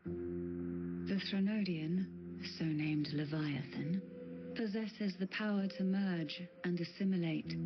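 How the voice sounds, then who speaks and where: A woman voicing a composed, courteous noble matriarch in a video game, speaking formally and with measured poise. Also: lowish voice, muffled recording. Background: loud game music.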